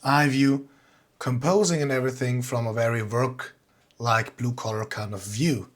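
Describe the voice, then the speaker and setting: A man's voice: medium pitch, fairly even intonation, a little slow. A man with a Swiss accent giving an informal online talk as a composer, explaining things in a plain-spoken way. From Switzerland.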